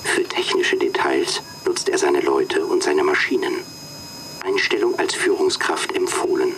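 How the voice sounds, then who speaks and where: A man's voice: extremely low pitch, animated, a little slow, fairly bright tone, a little hiss or hum, full character voice. A man playing a cool, formal computer program that interviews job candidates in a radio drama, clipped and impersonal. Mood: detached, evaluating.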